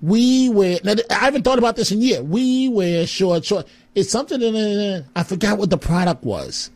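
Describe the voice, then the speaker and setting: A man's voice: high voice, lively intonation, normal speed, a little mellow. A man hosting a call-in radio show, friendly and exclamatory, with rambling asides. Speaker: radio talk show host.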